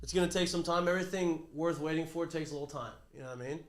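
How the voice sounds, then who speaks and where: A man's voice: a little high, rapid-fire, reverberant. A man hosting a casual sports talk show, slightly nasal from a cold, rambling and joking as he corrects himself. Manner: rambling, self-correcting, jokey. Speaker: podcast host.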